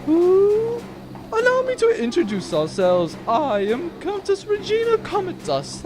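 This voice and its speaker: A woman's voice: high voice, full character voice. A woman playing a theatrical, haughty, gushing aristocratic countess in a comic space-opera audio drama. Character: grand, gushing aristocratic countess who hunts pirates.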